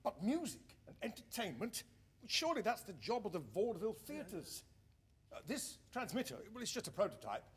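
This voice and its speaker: A man playing a courteous, cautious 1920s radio engineer in a period radio drama, speaking in a measured, explanatory way. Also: high voice, wildly swooping pitch, a little hiss or hum. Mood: doubtful.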